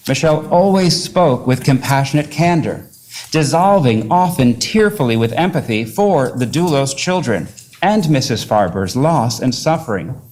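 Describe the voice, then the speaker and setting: A man, a pastor, reading a formal character statement to a court in a measured, earnest way. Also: fairly high pitch, a little mellow, slight background noise.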